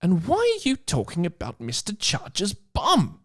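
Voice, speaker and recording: Man acting older woman teacher, sixties, high-pitched, scolding, sassy, hardened, comic audiobook character. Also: huge pitch swings, measured pace, fairly bright tone, heavily acted. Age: 60s. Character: sixty-year-old stern woman professor, hardened by tough years.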